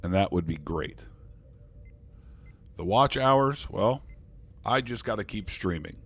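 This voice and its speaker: A man's voice: fairly low pitch, lively intonation, slow, husky. A man chatting casually on a live poker stream, thanking viewers and joking in character as a starship commander. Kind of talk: casual live-stream chat while playing online poker.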